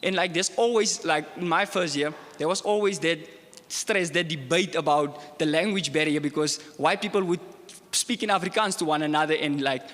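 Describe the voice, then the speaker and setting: A man's voice: on the high side, moderate pace, a bit bright, long reverb tail. A young man speaking earnestly as a student panelist, often saying "like" and growing heated as he makes his points. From South Africa. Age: young adult. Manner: earnest, grows heated, many fillers. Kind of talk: panel discussion.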